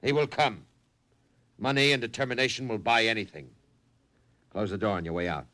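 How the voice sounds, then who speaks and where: An actor playing a ruthless, imperious business tycoon in a 1950s radio drama, curt, commanding and impatient. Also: male voice, on the low side, lively intonation, brisk, bright, forceful tone. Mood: determined, curt. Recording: vintage 1950s radio recording.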